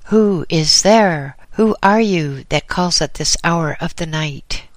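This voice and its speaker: A man's voice: fairly high pitch, animated, a little slow, a bit bright, dry recording, voice pushed up. A man voicing an old male priest caretaker in a storybook reading, speaking in a raised, eager voice. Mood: demanding.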